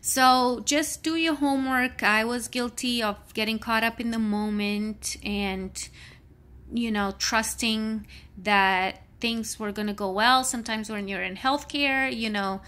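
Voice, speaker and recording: A woman's voice: fairly high pitch, fairly even intonation, measured pace, a bit bright, smooth. A woman in her thirties talking candidly in a personal vlog, rambling with frequent fillers; she works in healthcare. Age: 30s. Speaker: vlogger; healthcare worker.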